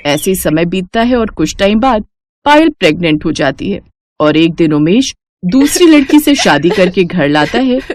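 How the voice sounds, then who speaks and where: A woman's voice: lowish voice, big pitch swings, moderate pace, no room sound. A woman storyteller reading a scripted moral tale as narration.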